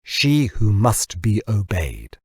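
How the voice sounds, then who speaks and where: A man voicing a blustery, wry audiobook gentleman, husky. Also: on the low side, huge pitch swings, slow, no echo, clean studio sound, full character voice. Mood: resigned, wry. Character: glum, proud man forcing hearty bonhomie. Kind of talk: audiobook character dialogue.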